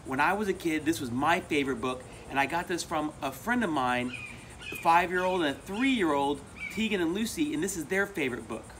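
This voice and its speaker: A middle-aged American man with an expressive, emphatic delivery, presenting and reading a children's picture book aloud. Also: on the high side, brisk, low-quality recording. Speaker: volunteer storybook reader. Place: outdoors among palm trees. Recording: outdoor recording with insect noise. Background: crickets and insects.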